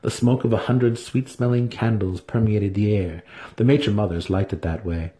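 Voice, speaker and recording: A man's voice: fairly low pitch, dark tone. A man giving a dramatic reading of a fantasy story as its narrator. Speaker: audiobook narrator.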